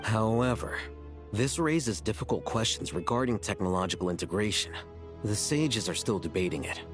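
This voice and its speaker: A man playing a serious, measured academic in a video game, with formal and deliberate delivery. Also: fairly low pitch, lively intonation, over music, close to an everyday voice. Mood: grave, measured.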